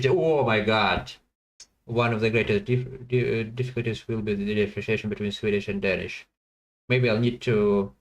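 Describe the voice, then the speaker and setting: A man streaming a language-guessing game, thinking aloud in a halting way with many fillers and self-corrections, and chatting to viewers between guesses. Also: lowish voice.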